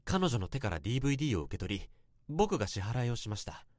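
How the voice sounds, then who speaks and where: An actor playing an earnest young office worker who narrates a past infatuation in a radio drama, with polite, literary phrasing. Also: male voice, medium pitch, big pitch swings, quick pace, no echo, clean studio sound, natural voice. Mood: earnest, nervous. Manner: polite, formal, literary storytelling.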